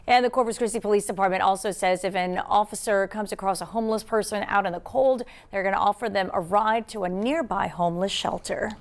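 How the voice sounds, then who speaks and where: A woman's voice: mid-range voice, a little fast, hardly any pauses. An American woman reading news as a TV anchor.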